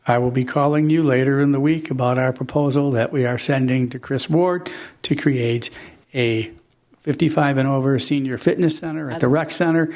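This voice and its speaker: A man's voice: medium pitch, fairly even intonation, normal speed, a little mellow. A middle-aged man, the city manager, speaking courteously and matter-of-factly at a public council meeting. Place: council chamber.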